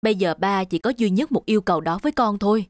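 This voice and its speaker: A woman's voice: medium pitch, quick pace, a little mellow, dry recording, studio quality, close to an everyday voice. A woman voicing a stern, demanding middle-aged father in a cartoon, with a firm, lecturing manner. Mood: stern, angry. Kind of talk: cartoon dubbing.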